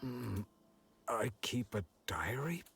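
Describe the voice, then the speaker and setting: A man's voice: mid-range voice, sing-song, creaky, music in the background, exaggerated voice. A man voicing a weary, forgetful middle-aged villager in a fantasy game, hesitant and bewildered. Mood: unsure. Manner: hesitant, halting. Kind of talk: animated fantasy game voice acting.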